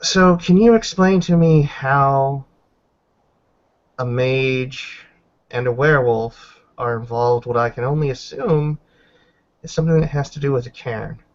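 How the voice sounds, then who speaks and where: A dull, lo-fi recording of a man playing a wary female werewolf in a tabletop game. She speaks formally and deliberately, weighing her words with blunt caution. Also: mid-range voice, natural voice. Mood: probing, wary.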